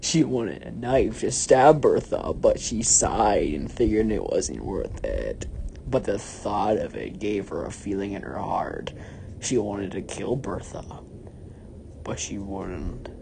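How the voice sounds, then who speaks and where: Female story reader, dark deep voice, slow deliberate mock-dramatic narration, faint noise behind. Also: animated, vocal fry, poor audio. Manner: deliberate, theatrical phrasing.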